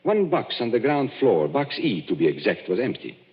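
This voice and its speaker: An actor as a suave, knowing stage magician. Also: male voice, medium pitch, very animated, lightly acted. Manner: smooth, measured, knowing. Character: suave middle-aged male stage magician, sleuthing. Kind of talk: 1940s radio acting. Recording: dull old radio broadcast, reverberant.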